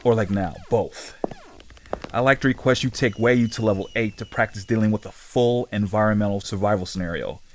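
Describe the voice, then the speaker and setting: A man's voice: lowish voice, brisk, slight background noise, lightly acted. A man playing a casually authoritative boss in a sci-fi horror audio drama, breezy and dryly matter-of-fact. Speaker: commanding officer of facility staff.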